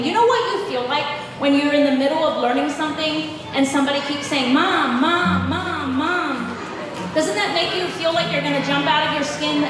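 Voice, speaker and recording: A woman's voice: high voice, noisy background. A woman whose first language is Pennsylvania Dutch, giving practical parenting advice at a live festival talk and taking audience questions in a chatty, reassuring way. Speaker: festival speaker. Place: large festival hall with audience. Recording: echoey hall, distant mic, music behind.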